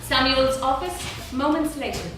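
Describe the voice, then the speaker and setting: A woman's voice: high-pitched, lively intonation, a little slow. A woman reading stage directions as scripted narration at a staged theatre reading. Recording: distant, very echoey hall, clear noise.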